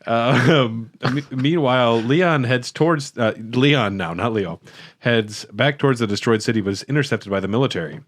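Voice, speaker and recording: A man's voice: mid-range voice, moderate pace. A man co-hosting a comedy podcast, mixing a read-out recap with crude, joking interjections. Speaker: podcast co-host. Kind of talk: casual comedic recap and banter.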